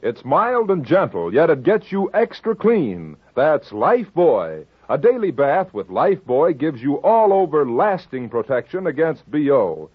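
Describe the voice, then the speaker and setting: A husky-voiced man reading a scripted 1940s radio advertisement. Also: fairly high pitch, very animated, unhurried, hardly any pauses. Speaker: commercial announcer. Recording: tinny, phone-like old radio recording.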